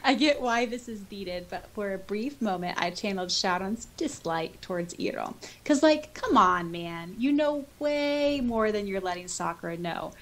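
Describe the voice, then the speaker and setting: A woman's voice: mid-range voice, animated, moderate pace, few pauses. A woman co-hosting a podcast in casual chat, reading out comments in a giggly, effusive manner. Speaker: podcast co-host.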